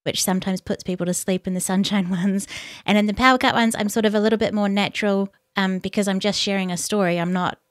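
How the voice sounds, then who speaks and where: A woman hosting a casual interview, speaking in a calm, relaxing voice. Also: medium pitch, quick pace, no room sound, clean studio sound. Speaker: interview host.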